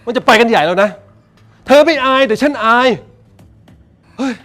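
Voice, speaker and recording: A man's voice: extremely high pitch, lively intonation, a bit bright, soft background music. An actor playing an exasperated husband who scolds his wife in a rush before leaving for work. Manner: fast, impatient scolding. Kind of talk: Thai melodrama acting.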